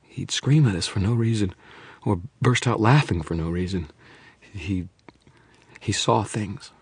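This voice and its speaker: A man voicing a frightened young mother who pleads and confesses in a rush, then drops to a hush. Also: on the low side, slightly rough, dry recording, pitched above the performer's own voice. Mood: fearful confession. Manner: breathless pleading, rushing then hushed.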